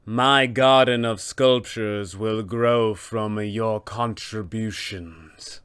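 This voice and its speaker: A man voicing a haughty, cold winter goddess villainess with slow, grandiose, menacing delivery. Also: fairly low pitch, fairly even intonation, a bit bright, clear, resonant tone, no room sound, studio quality. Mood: imperious menace.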